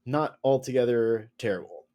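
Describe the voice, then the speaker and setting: A man's voice: medium pitch, normal speed, warm tone. A man co-hosting a podcast, chatting in an easygoing, dryly joking way. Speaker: podcast co-host. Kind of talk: casual chat.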